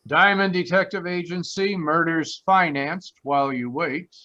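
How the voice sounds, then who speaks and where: Wisecracking male private eye played by a man, radio comedy style; punchy bright tone, lo-fi recording. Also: a little high, unhurried. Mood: playful, cocky. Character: wisecracking, cocky, flirtatious male private detective. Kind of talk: old-time radio detective comedy acting. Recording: dull, lo-fi video-call audio.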